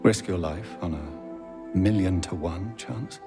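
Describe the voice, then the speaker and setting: A low, creaky male voice, urbane and formal, probing with quiet menace. It is a calm, measured game cutscene performance over clear background music. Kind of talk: video game cutscene acting.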